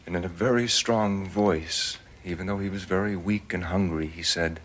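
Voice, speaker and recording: Male, low register, composed TV-drama colonel played by an actor, deliberate and solemn, noisy soundtrack. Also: fairly even intonation, close to an everyday voice. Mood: solemn storytelling. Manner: measured, solemn storytelling with pauses. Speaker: military colonel. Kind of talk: television drama acting, storytelling.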